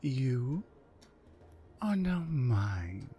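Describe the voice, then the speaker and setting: A woman playing a towering, mean, dominant orc captor in an ASMR roleplay, commanding and deliberate. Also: very deep voice, sing-song, mellow, soft background music, heavily acted. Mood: menacing. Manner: menacing, commanding, slow and deliberate.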